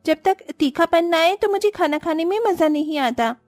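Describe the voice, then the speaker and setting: A woman's voice: extremely high pitch, expressive, moderate pace, darkish tone, dry recording. A woman voicing a demanding, gluttonous sister-in-law in a cartoon moral story, with a whiny, entitled manner.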